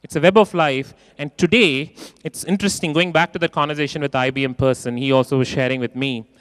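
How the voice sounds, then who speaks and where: Expressive man giving a hall keynote. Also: a little high, fast. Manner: expressive, acts out quoted voices, repeats phrases for emphasis. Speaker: keynote speaker. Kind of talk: conference keynote talk. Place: large conference hall.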